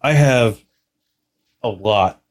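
Low-voiced man, candid and dryly casual. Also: extremely slow. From Texas, United States. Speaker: podcast guest, hypnotherapist. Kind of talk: casual interview chat.

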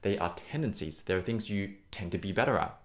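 A man's voice: deep voice, lively intonation, fairly quick. A man who works as a voice teacher and host, talking conversationally to listeners in an encouraging, emphatic and reassuring way. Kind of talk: conversational singing lesson to listeners.

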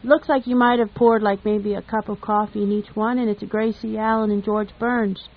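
A woman's voice: medium pitch, steady tone, normal speed. A woman reminiscing casually in a chatty, homely storytelling way. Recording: tinny narrow phone-like radio line.

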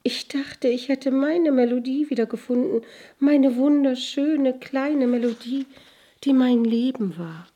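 A woman puts on a sorrowful little storybook girl. The voice is lifted higher and turns breathy and soft-edged, with a tender, yearning, plaintive delivery. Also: a little slow. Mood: tearful, pleading. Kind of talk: fairy-tale storytelling.

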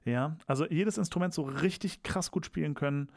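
Young male co-host, rambling and teasing, raised pitch, dry sound. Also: fairly quick, vocal fry, no echo. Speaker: podcast co-host. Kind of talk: casual chat.

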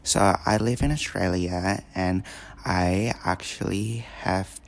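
Gravelly low-voiced teen podcaster. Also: male voice, fairly even intonation, slow. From Indonesia. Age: teens. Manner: rambling, many fillers and repeated words. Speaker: podcast host. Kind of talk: casual solo podcast.

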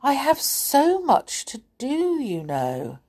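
A woman's voice: high voice, huge pitch swings, takes it slowly, dark tone, pitched above the performer's own voice, heavily acted. A woman voicing a fussy, muddled little male goblin in a children's storybook, talking in a flustered, dithering way.

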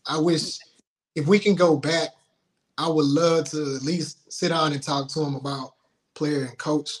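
A man talks casually about his own life. He speaks informally and colloquially, often halting and repeating phrases as he goes, like a guest sharing stories in an interview. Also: on the high side, fairly even intonation. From Houston, Texas, United States. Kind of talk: casual personal storytelling.

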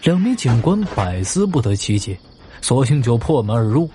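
A man's voice: mid-range voice, very animated, normal speed, warm tone, breathy edge. A man narrating a mystery story in a dramatic, scripted audio drama style.

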